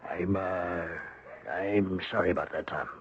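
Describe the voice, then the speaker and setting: An actor in a 1970s radio drama playing a genteel, middle-aged father, raspy, hesitant, fussy and polite. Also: low voice, steady tone, a little slow, a little hiss or hum. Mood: awkward, regretful. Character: genteel, flustered older father, apologetic and wealthy. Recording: dull old radio broadcast recording.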